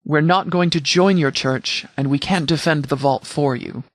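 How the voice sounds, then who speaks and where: Man acting as a formal, higher-pitched military commander. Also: dry recording, clean studio sound, close to an everyday voice. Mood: firm, measured. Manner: formal, measured, tactical phrasing. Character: composed, formal, pragmatic male military commander. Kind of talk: video game dialogue acting.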